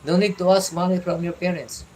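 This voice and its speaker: A middle-aged man from the Philippines with a Filipino accent, chatting on a livestream and giving fatherly advice in a halting way, repeating and restarting his words. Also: high voice, steady tone, darkish tone, slight background noise, rough recording. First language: Tagalog. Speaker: vlogger. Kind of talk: casual livestream chat.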